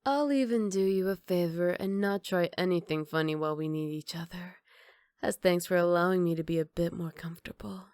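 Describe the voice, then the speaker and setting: A woman acts out a teasing, self-assured female villain for an ASMR roleplay. The voice is lowish, soft and breathy, sitting very close and dry. She takes her time, with sly, flirty mock politeness. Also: fairly quick, no room sound, lightly acted. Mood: smug, teasing.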